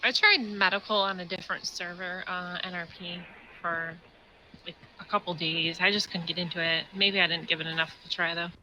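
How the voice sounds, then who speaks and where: Woman streamer, casual rambling chat, bright voice, slightly stuffy, faint background noise. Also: on the low side, steady tone, a little fast, poor audio. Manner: chatty and easygoing, lots of "like" fillers. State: stuffy from allergies. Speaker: roleplay streamer. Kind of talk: casual in-character chat during game roleplay. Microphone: headset or desk mic.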